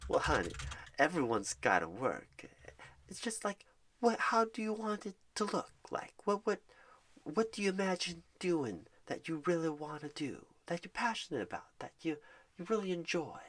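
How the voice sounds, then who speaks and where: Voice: mid-range voice, very animated, normal speed, dry recording. Folksy sweet ASMR job recruiter. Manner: folksy, sweet, calls the listener darling and honey; drops to soft whispers at times. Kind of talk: ASMR roleplay phone screen. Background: keyboard typing.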